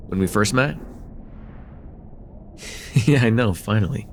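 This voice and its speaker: A man's voice: lowish voice, slow pace, slight background noise. A man playing a friendly, teasing college-age guy in a casual, hesitant slice-of-life ASMR roleplay with a close friend. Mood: warm, sincere.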